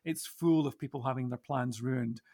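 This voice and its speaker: A man's voice: mid-range voice, moderate pace, gravelly, warm tone. A man speaking as a church minister on a podcast panel, reflecting casually and hesitantly as he thinks aloud.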